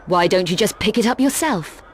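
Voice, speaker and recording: An actress playing a tough, self-assured young female adventurer in a video game, curt, sardonic and quietly threatening. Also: medium pitch, expressive, moderate pace, slightly rough. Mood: cool, persuasive. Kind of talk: video game cutscene acting.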